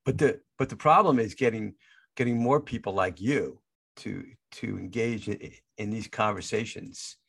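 Male podcast host, gravelly fry, lowish pitch, repeats and restarts words, dry close sound. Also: normal speed, fairly bright tone, no echo. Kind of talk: interview chat.

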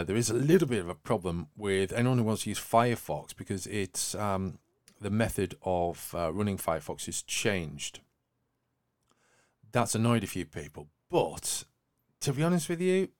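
Low-voiced man hosting a podcast. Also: expressive, moderate pace, no room sound, studio quality. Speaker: tech podcast host. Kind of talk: news discussion podcast, semi-scripted.

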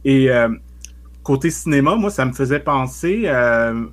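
A man's voice: medium pitch, animated, unhurried. A man, co-hosting a podcast and asking searching questions full of "euh". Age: 40s. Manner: searching, filler-heavy questions. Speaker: podcast co-host. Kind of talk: casual podcast interview.

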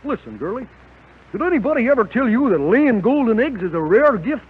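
A man's voice: very high voice, big pitch swings, a little fast, slightly rough, full character voice. A man playing a brash, scheming Hollywood promoter with wheedling salesman patter in old-time radio comedy. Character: fast-talking, scheming Hollywood promoter in a cartoon world. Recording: muffled, noisy old radio recording.

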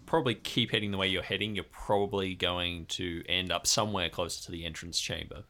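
Male game master, bright forward tone at a fairly low pitch, improvised narration. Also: steady tone, fairly quick.

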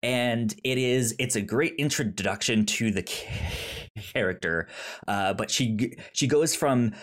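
A man's voice: medium pitch, unhurried, fairly bright tone. A man with a pronounced stutter co-hosting a film podcast, chatting casually in an enthusiastic, rambling way.

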